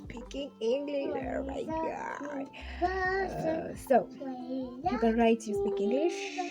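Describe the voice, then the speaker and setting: A woman's voice: sits high, animated, slow. A young woman with a Kenyan accent, whose first language is Swahili, giving casual advice in a chatty, hesitant way with many fillers and restarts. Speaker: vlogger. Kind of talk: casual how-to vlog. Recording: music playing underneath.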